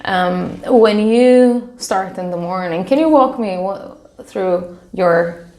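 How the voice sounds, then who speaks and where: A woman's voice: medium pitch, slow, darkish tone. A woman interviewer asking questions in a casual, conversational way, recorded in a roomy space. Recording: roomy, echoing sound.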